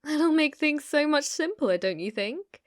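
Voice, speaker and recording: Casting: actress for obsessive British yandere woman, ASMR drama. Intimate, possessive delivery, fairly high pitch, close and dry microphone sound. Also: lively intonation, a little slow, no echo. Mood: sly, menacing. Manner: intimate, unhinged, swinging between whispers and intense pleading. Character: obsessive, delusional young woman stalker, sweetly menacing. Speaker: ASMR roleplay performer. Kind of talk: ASMR roleplay acting.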